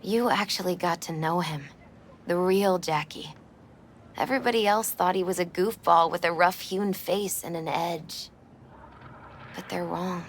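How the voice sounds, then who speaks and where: A wistful young woman in her twenties in a cinematic game, with a lowish voice. She is soft-hearted and mournful, speaking in quiet, halting phrases over faint background ambience. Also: slight background noise. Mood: tender, nostalgic. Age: 20s. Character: wistful twenty-six-year-old grieving girlfriend, soft-hearted, melancholy. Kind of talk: cinematic video game acting.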